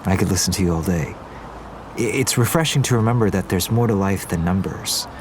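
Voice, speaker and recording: An actor playing an earnest, smitten, gently wry young Korean businessman in a naturalistic audio drama. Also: sits low, fairly quick, noisy background, lightly acted. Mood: fond. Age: young adult. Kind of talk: naturalistic fiction podcast acting.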